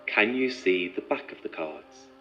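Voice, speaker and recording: A man's voice: low voice, lively intonation, unhurried, a bit bright. An actor playing a composed, methodical male researcher in an audio drama, speaking in a clinical, probing, precise way. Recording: muffled sound with music behind.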